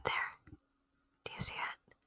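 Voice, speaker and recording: A woman voicing a gentle, lonely, curious alien in a soft, wistful, intimate ASMR roleplay whisper. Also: a little slow, no echo, studio quality. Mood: hushed wonder.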